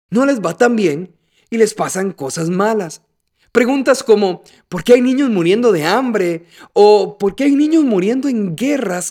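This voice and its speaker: High-pitched, animated Costa Rican man. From Costa Rica. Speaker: podcast host. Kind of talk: scripted explanatory narration.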